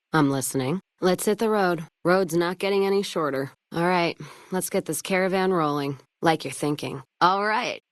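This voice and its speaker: Low female voice, actress as hard-drinking wasteland caravaner, blunt, sardonic, folksy, game dialogue, close dry recording. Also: no room sound, close to an everyday voice. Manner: blunt, wry, folksy drawling phrasing. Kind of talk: video game voice acting.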